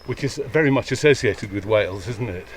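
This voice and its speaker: A man's voice: fairly low pitch, lively intonation, fairly quick. A man hosting a walking interview, asking short, curious questions while a little out of breath climbing a hill outdoors. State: a bit out of breath. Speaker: podcast host. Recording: slightly distant outdoor mic, faint noise.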